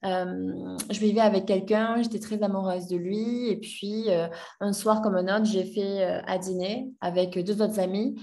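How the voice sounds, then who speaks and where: A woman's voice: medium pitch, fairly even intonation, unhurried, dark tone. A woman in her twenties telling her personal story as a podcast guest, hesitant, with many fillers and restarts. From France. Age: 20s. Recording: voice a bit distant from the mic.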